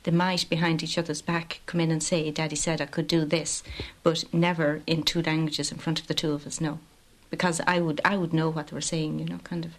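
A woman in an archive radio interview speaks in a low, level voice, with barely any rise or fall. She talks hesitantly, stopping to restart her sentences and filling gaps with small verbal fillers. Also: little pitch movement, brisk, few pauses. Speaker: mother interviewed about raising bilingual children.

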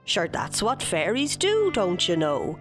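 A woman's voice: on the low side, huge pitch swings, unhurried, music in the background. Woman voicing a wise, knowing old man.

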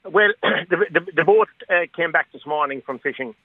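A man's voice: a little high, moderate pace, dry recording. A man, a fishing boat owner, calling in to a radio show and speaking hesitantly with many fillers and restarts. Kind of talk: phone-in radio interview. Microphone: telephone. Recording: tinny dry phone line.

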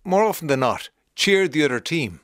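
An Irish man hosting a radio show, interviewing a guest in a lively, jokey way. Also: fairly high pitch, normal speed, no room sound, clean studio sound.

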